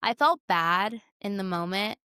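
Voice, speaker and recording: A woman's voice: mid-range voice, animated, measured pace, pushed and bright, clear, resonant tone, no echo, studio quality. A young adult woman talking casually and conversationally about films as a podcast co-host. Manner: informal, conversational.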